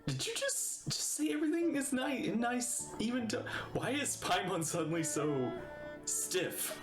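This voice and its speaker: A man giving excitable, playful, casual reaction commentary as a game streamer. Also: extremely high pitch, unhurried. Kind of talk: casual gameplay reaction commentary, reading lines aloud. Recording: roomy echo. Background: game music.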